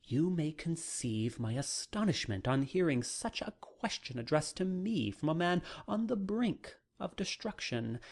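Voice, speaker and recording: Literary narrator: measured dramatic reading, very animated intonation, slow tempo. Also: mid-range voice, vocal fry. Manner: measured, deliberate phrasing. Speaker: audiobook narrator.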